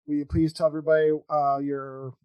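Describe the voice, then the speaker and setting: A man hosting a casual interview podcast, talking in a halting, rambling, informal way. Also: fairly high pitch, little pitch movement, clean tone, dry recording, dull audio. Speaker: podcast host.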